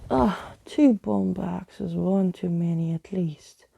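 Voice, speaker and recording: A woman voicing a sarcastic, mischievous young girl in a storybook, blunt and teasing in a breathy voice. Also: lowish voice, takes it slowly, dark tone, full character voice. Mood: grumbling.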